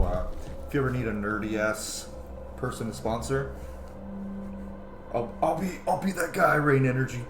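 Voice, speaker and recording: A man's voice: on the low side, background noise. A man in his thirties giving casual, rambling, sweary let's play commentary as a gaming streamer. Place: small home room, indoors. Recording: echoey room with game music. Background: game music and sounds.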